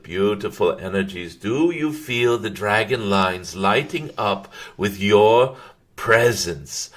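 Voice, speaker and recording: A man channeling a serene, benevolent golden cosmic dragon, speaking formally and haltingly with frequent drawn-out hums to a live audience. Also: on the low side, a bit bright. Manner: formal, ceremonial phrasing. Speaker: channeler hosting a live stream.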